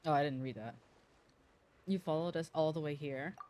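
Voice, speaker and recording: A woman's voice: deep voice, normal speed, dark tone, slight background noise. A woman game streamer giving casual, slangy, sassy reaction commentary.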